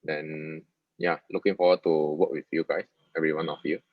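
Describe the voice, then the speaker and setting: Man, Malaysian accent, extremely low pitch, casual introduction, dry and dull lo-fi recording. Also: little pitch movement, a little fast, no echo. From Ipoh, Malaysia. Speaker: senior UI/UX designer. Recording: dull, muffled lo-fi audio.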